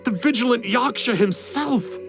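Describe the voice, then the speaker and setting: A man's voice: extremely high pitch, a little slow, creaky, exaggerated voice. A man voicing an earnest, awestruck devotee in a video game, formal and fervent. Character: earnest, awestruck male devotee, a reformed trickster. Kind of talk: animated game voice acting. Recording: music playing faintly behind.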